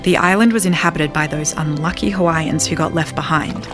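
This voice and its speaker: A woman narrating a scripted audio fable in a measured, wryly ornate storybook manner. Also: deep voice, loud music behind the voice, lightly acted. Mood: wry storytelling. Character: wry, grandiose storybook narrator of an island fable.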